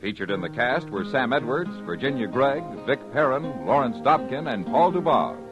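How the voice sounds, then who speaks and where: A man's voice: mid-range voice, lively intonation, normal speed, music in the background. A man with an American accent reading scripted 1950s radio announcements in an emphatic, polished way. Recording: muffled old radio recording.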